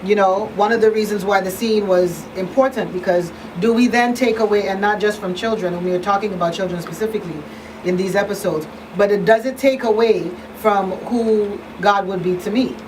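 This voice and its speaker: A woman hosting an earnest conversational discussion, halting and repeating words as she poses rhetorical questions. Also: mid-range voice, steady tone, a little mellow. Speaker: talk show host and crisis counselor. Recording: distant mic, clear background noise.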